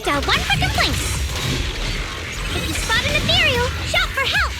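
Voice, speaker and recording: A woman voicing a cute, chirpy young female fighter in a video game, with perky, theatrical battle shouts. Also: very high-pitched, huge pitch swings, moderate pace, heavily acted. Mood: perky, combative. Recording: game audio under loud music. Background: loud music, crashing battle effects.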